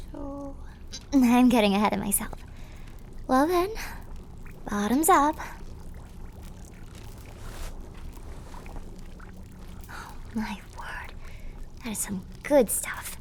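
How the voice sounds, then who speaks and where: A woman playing a clumsy, affectionate and slightly mad plague doctor girlfriend in a theatrical, breathy ASMR roleplay. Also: on the high side, expressive, a little slow, long pauses, a little hiss or hum. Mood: playful, bubbly. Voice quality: breathy, often whispered. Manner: theatrical, affectionate, quaint turns of phrase. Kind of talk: ASMR roleplay, scripted character acting.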